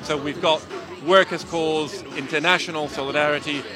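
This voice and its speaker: A man's voice: fairly high pitch, fairly even intonation, moderate pace. A man from Venezuela with a Venezuelan accent, a university teacher being interviewed at an outdoor rally, talking hesitantly with many fillers. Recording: music behind, outdoor rally. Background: music playing.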